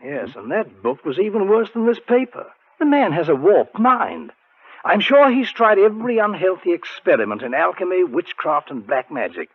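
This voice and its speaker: An actor playing an anxious, irritable society secretary, agitated and emphatic, in a 1940s radio drama. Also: male voice, high-pitched, very animated, normal speed, breathy edge, exaggerated voice. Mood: uneasy, ominous. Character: anxious, irritable British science society secretary, suspicious man. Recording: thin narrow-band 1940s radio recording.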